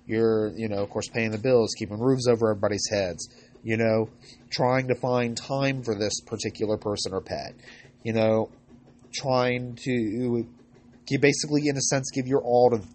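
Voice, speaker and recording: A man hosting a podcast with informal advice, congested from a cold, speaking in a halting, rambling way and often correcting himself. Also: medium pitch, steady tone, a little mellow, slight background noise. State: recovering from a cold. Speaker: podcast host.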